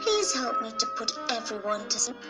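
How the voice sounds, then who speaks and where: A woman reading a fairy tale aloud for children in a gentle, clear way. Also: a little high, very animated, normal speed, loud music behind the voice.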